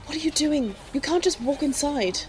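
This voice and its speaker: A woman's voice: high-pitched, expressive, normal speed, darkish tone, faint music behind. An actress playing a determined, daring young woman in an audio drama, earnest and challenging. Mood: alarmed, skeptical.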